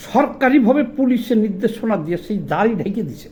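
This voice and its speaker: High-pitched, animated Bangladeshi man arguing. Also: fairly quick. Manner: indignant, argumentative, colloquial. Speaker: social commentator. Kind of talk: informal video commentary, rhetorical questioning.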